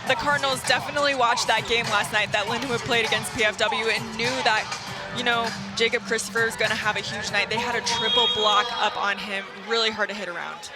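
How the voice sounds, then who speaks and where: Woman color commentator over a loud crowd. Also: on the high side, fairly even intonation, fairly quick. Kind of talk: conversational sports analysis. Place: indoor sports arena. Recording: loud crowd and arena noise. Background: crowd, bouncing balls, music.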